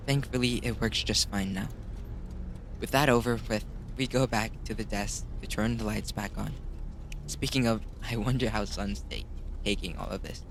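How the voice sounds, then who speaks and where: A man's voice: medium pitch, vocal fry, slight background noise, poor audio. A man hosting a gaming video, reading a visual novel aloud with casual commentary and correcting himself when he stumbles. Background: music.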